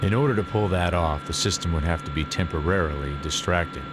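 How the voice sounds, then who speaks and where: Deep-voiced, terse, stoic commando played by a man. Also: a little fast, very noisy, natural voice. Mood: cold. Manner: terse, curt. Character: cold, stoic young male commando on assault missions. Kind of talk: video game cutscene acting. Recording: loud music and effects behind.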